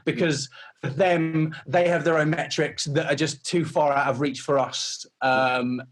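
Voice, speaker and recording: A man's voice: a little high, fairly even intonation, measured pace. A man chatting casually as an interview guest, often repeating words as he rephrases. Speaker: interview guest, runs a creative agency.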